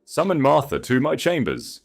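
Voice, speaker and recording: A man's voice: a little high, very animated, moderate pace, creaky, soft background music, voice pushed up, heavily acted. A man voicing a young aristocratic lady in an audiobook, formal, composed and decisive. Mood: cold command. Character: young aristocratic bride, gentle yet resolute lady. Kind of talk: dramatised audiobook story.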